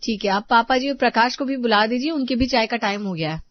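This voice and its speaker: A woman's voice: fairly high pitch, fast, dry recording, dull audio, lightly acted. A woman playing a dutiful young Indian housewife who frets over household money in an acted family story.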